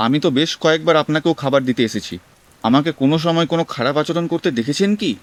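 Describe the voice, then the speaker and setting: Male actor as young delivery rider, twenties, smooth clear voice, polite, pleading, earnest, audio drama. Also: on the high side, quick pace, lightly acted. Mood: pleading, aggrieved. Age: 20s.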